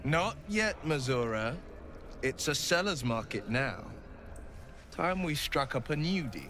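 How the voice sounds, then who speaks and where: An earnest young hero, slow-spoken. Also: male voice, on the high side, very animated. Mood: firm. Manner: earnest, firm. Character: earnest young male hero bound by a devil's pact.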